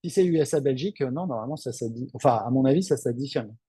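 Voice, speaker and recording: A man's voice: mid-range voice, fast, mellow, husky, dry recording, clean studio sound. A man from northern France, hosting a webinar as a financial adviser, reading out questions and answering them in a frank, off-the-cuff, conversational way. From Lille, northern France.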